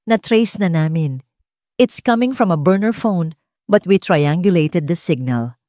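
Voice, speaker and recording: A Filipino woman voicing a brisk, businesslike tech specialist reporting respectfully to a boss in an audiobook. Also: fairly low pitch, lively intonation, dark tone, no room sound, studio quality. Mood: brisk, urgent. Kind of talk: audiobook character acting.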